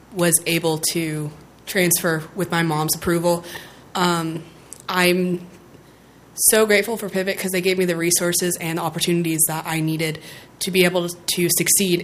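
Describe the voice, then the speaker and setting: Hoarse young woman, low flat voice. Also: a little hiss or hum. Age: 20s. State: hoarse, losing her voice. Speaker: former student giving public comment. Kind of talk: public comment at a school board meeting.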